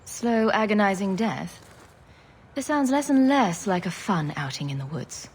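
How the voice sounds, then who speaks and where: A woman playing a confident, sardonic adventurer with a wry, deadpan manner in a scripted fantasy game. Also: mid-range voice, measured pace, a little hiss or hum, natural voice. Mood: dry, sardonic.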